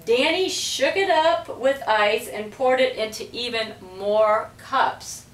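A middle-aged woman reads a storybook aloud slowly, with a lively, fairly high voice. She sounds like a measured storyteller in a roomy space, recorded from across the room. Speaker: school principal reading aloud. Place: small indoor room with block walls. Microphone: distant camera microphone. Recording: roomy, distant camera microphone.

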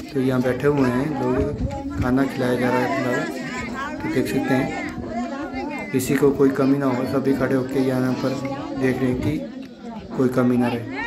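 A man's voice: medium pitch, little pitch movement, a little slow, long pauses, a loud crowd. A man from the hills of northern India, narrating a village vlog casually and pointing things out to viewers as friends. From Himachal Pradesh hills, northern India. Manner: repetitive show-and-tell, addressing viewers as friends. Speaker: village vlogger.

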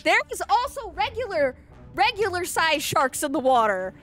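A woman's voice: very high voice, sing-song, moderate pace, over music. A woman chatting casually with friends while playing a pirate game online. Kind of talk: casual gaming chat.

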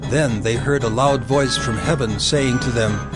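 Solemn male narrator over music. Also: mid-range voice. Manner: solemn, deliberate storytelling. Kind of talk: dramatic scripted scripture narration. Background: loud music.

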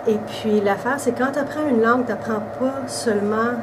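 Interviewee: woman in her 40s, québécois accent, relaxed chat, distant camera mic, hissy room. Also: fairly high pitch, moderate pace. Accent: Québécois French. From Quebec, Canada. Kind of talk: casual interview answers. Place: indoors by a brick wall.

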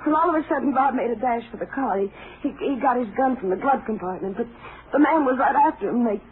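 Woman as anxious young ranch girl, halting delivery, 1950s radio drama, tinny recording. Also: fairly high pitch, lively intonation, a little hiss or hum, natural voice. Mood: frightened, tearful. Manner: hesitant, stammering, anxious. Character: frightened young rancher's daughter giving testimony. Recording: narrow-band old radio recording.

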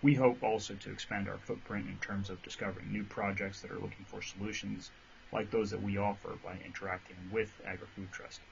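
Low-voiced man reading a pitch. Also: fairly quick, gravelly. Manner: steady, scripted delivery. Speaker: company founder presenting. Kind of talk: read-out voice-over pitch. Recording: dull audio with faint hiss.

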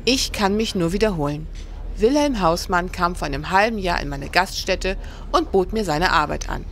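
A woman's voice: on the low side, lively intonation, moderate pace, fairly bright tone, a little hiss or hum. A woman playing a plain-spoken, guarded innkeeper of about forty in a historical radio play. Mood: firm, explanatory. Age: middle-aged.

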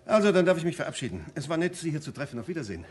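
An actor playing an affable, hurried gentleman in a German radio play. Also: on the high side, sing-song. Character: affable, hurried male acquaintance taking his leave. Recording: noisy old radio play recording.

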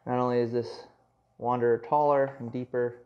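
A man's voice: mid-range voice, little pitch movement, moderate pace, dull audio. A man giving a casual hands-on product review indoors at home, explaining in a conversational way with many asides.

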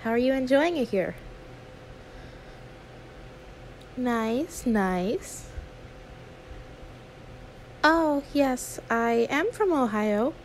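Woman playing a high-voiced, flustered young YouTuber girl. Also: expressive, slow, pauses often, a little hiss or hum, poor audio. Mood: flustered, polite. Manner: flustered, stammering, awkward, apologetic. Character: anxious, sweet young female YouTuber who meows nervously. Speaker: ASMR roleplay performer. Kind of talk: one-sided ASMR audio roleplay.

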